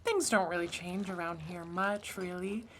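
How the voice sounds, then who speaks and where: A woman's voice: on the low side, normal speed, fairly bright tone. A woman playing a doting wife in a radio comedy drama, cooing like a mother and defensively scolding her husband. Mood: cheerfully defensive. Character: doting, scolding motherly wife fussing over adopted creature.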